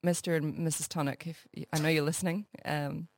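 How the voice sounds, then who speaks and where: A woman's voice: low voice, little pitch movement, a little fast, darkish tone, dry recording. A woman guest on a radio show talking about her festival work, friendly and giggly with many fillers. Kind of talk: radio interview.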